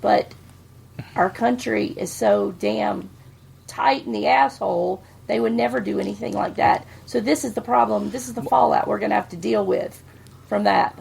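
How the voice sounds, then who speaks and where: A woman's voice: medium pitch, moderate pace, gravelly, darkish tone, husky. A woman, co-hosting a podcast and giving her blunt opinions in casual chat. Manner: blunt, outspoken. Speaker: podcast co-host.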